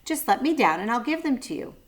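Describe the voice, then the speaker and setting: A woman's voice: on the high side, sing-song, quick pace, pitched above the performer's own voice. A woman voicing a polite, coaxing young male adventurer in a storybook. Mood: pleading.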